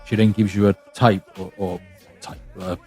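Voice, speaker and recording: A man's voice: on the low side, level tone, moderate pace, mellow, husky, faint music behind. A man chatting casually as a podcast panelist, blunt and matey, often checking in with a tag question. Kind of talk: casual chat.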